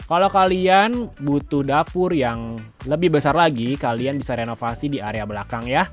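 A man hosts a property tour in an informal, chatty way, speaking straight to the viewer. His voice sits at an average male pitch. Music plays behind his narration. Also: lively intonation, moderate pace. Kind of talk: casual walkthrough narration of a house tour. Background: background music.

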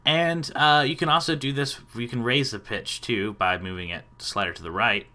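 A man in his thirties hosting a casual software tutorial. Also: medium pitch, normal speed. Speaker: tutorial host.

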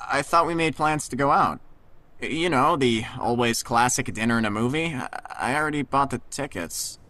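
A man's voice: mid-range voice, normal speed, sharp tone, dry recording, lightly acted. A man playing an easygoing, concerned teenage boy with a casual, tentative way of talking in an audio drama. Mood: casual, teasing. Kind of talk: naturalistic audio drama acting.

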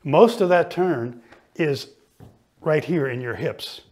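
A middle-aged man coaching ski fitness, explaining each exercise calmly while he demonstrates it in a studio. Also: fairly high pitch, slow. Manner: patient, explanatory, talks while moving.

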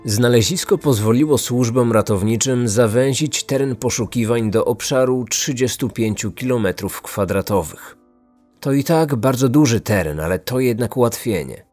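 A man's voice: medium pitch, expressive, normal speed, darkish tone. A man narrating a scripted true-crime podcast as its host. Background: faint music.

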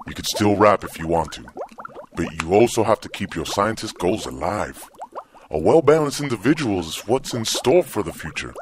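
A man acts as a kindly middle-aged dad in an audio comedy. His voice sits fairly low and moves with lively pitch, earnest and full of fatherly reassurance. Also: moderate pace, lightly acted. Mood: encouraging. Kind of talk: comedic audio drama acting.

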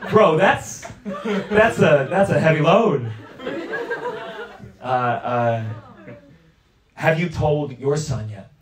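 A man in his thirties, a stand-up comedian doing crowd work on a club stage with a handheld mic, talking in an animated, incredulous way. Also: on the high side, very slow, pauses often, far from the mic. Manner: animated, incredulous, laughing often. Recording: roomy club sound with crowd laughter.

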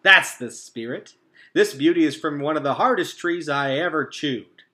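A man voicing a jolly, confident male beaver handyman in a storybook reading, booming and slow. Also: pushed and bright. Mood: hearty.

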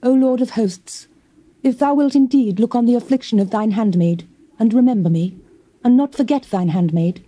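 An actress playing a devout, fervent wife with an earnest, reverent delivery in a dramatized Bible reading on tape. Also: female voice, a little high, warm tone. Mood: pleading. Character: devout, sorrowful wife Hannah, fervent in prayer.

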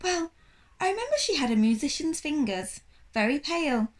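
Woman as a stooped French shopkeeper, lively pitch. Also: moderate pace. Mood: musing, apologetic. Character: stooped, paunchy French man, polite, vague shopkeeper.

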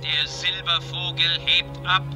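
A man's voice: on the high side, level tone, takes it slowly, loud music behind the voice. A man voicing a proud, eager spaceship computer with polite manners in a children's sci-fi radio play. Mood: dutiful.

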